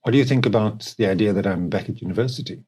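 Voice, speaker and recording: A man's voice: lowish voice, very fast, a little mellow, breathy edge. A middle-aged man with a South African accent, speaking as a company co-founder in a reflective podcast conversation.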